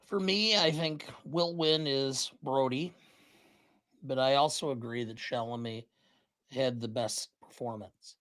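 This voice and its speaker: A podcast co-host in casual chat, talking in a halting way with many ums and uhs. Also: medium pitch, a bit bright, low-quality recording.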